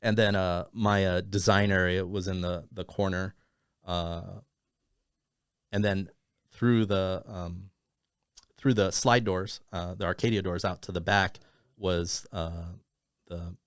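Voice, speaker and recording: A man with a very low voice telling his story as a podcast guest. He rambles in easy conversation, full of "you know" and restarts. The sound is close and dry, with no room echo. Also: steady tone, slow, smooth. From Thailand, raised in Arizona. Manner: rambling, many fillers and restarts. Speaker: podcast guest, business owner.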